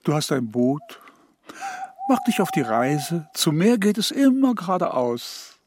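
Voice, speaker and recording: Slow, higher-voiced assured little fellow. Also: male voice, very animated, noisy background. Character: small, assured advice-giver, touchy yet helpful. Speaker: radio play actor. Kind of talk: children's radio play dialogue.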